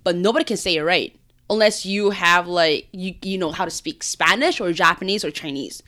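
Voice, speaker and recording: A woman's voice: lowish voice, moderate pace, bright, forceful tone. A woman of Korean background in casual podcast chat, explaining her views earnestly with lots of "like". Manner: earnest, explains with many likes. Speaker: podcast co-host.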